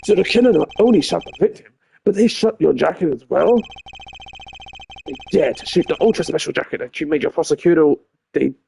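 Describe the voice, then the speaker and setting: A man voicing an excitable, loyal male detective who talks loudly and keeps calling his boss sir. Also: on the high side, a little fast, gravelly, slight background noise. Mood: excited. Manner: eager, loud, calls everyone sir. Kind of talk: live-stream game voiceover. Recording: game music behind voice. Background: game music.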